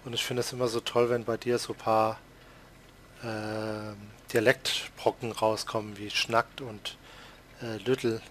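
A man's voice: fairly low pitch, level tone, unhurried, a little hiss or hum. A man with a Palatinate accent chatting casually while playing a farming game, laughing often and teasing himself. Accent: Palatinate German. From Palatinate, Germany. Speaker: let's play host. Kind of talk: casual gaming chat.

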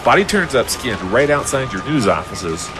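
Man acting a surly, animated police captain. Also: medium pitch, normal speed, over loud music, close to an everyday voice. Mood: contemptuous. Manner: sarcastic, hard-boiled. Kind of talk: audio drama acting.

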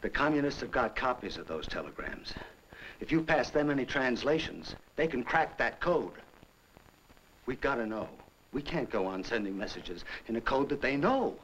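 An American actor, middle-aged, playing a stern, urgent government investigator with an earnest, clipped manner in an old film. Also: male voice, medium pitch, big pitch swings, moderate pace, a bit bright, rough recording. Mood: urgent pleading. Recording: old film soundtrack with hiss.

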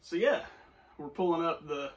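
A young man in his twenties talks casually on a couch, his slightly high voice wandering through fillers and restarts. The camera is across the room, so he sounds distant. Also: animated, moderate pace. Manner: rambling, many fillers and restarts. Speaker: co-host. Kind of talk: casual chat. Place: small room, seated on a couch. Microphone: far camera.

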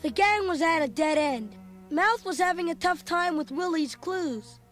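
A performer playing an excitable young boy who tells his adventure as animated, dramatic read-along storybook narration. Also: extremely high pitch, measured pace, heavily acted. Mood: excited storytelling. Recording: loud music under the voice. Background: loud dramatic music.

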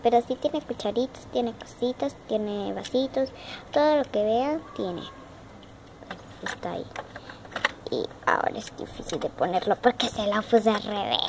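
Child vlogger, Argentine accent, chatty and repetitive, slightly high voice, noisy handheld phone audio. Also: female voice, lively intonation, measured pace, creaky. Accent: Argentine Spanish. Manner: chatty, repeats words, childlike diminutives. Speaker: young vlogger showing her dollhouse. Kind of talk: casual show-and-tell narration. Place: home room with wooden floor, indoors. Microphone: phone camera held in hand.

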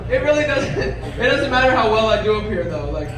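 A man's voice: high-pitched, very animated, brisk, heavy background noise. Young male comic in an echoey club. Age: 20s. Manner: self-deprecating, teasing the crowd. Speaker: stand-up comedian. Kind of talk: stand-up comedy set. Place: comedy club stage before a large audience. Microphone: handheld mic, heard from far in the room. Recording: echoey club room from far off, loud crowd laughter.

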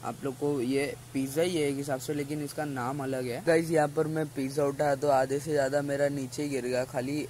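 A man's voice: medium pitch, level tone, a little fast, few pauses, a little mellow, slight background noise, rough recording. A teenage man, chatting to his viewers as he reviews a restaurant. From India. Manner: chatty, keeps addressing viewers as guys. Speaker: food vlogger. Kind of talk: casual restaurant review vlog. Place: busy mall restaurant. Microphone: phone camera at arm's length.

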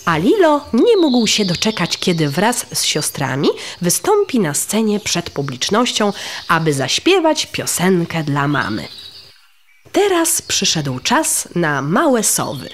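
A woman narrates a story for young children with hugely varied, swooping intonation. Her voice sits a little low and is expressive throughout. Some noise and ambience sit behind her. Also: slow.

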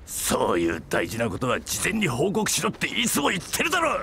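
Loud gruff company-boss father. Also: a little high, huge pitch swings, a little fast, slight background noise, full character voice. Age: middle-aged. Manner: loud, rough-spoken, barking. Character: gruff blustering father who runs a company. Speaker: game character.

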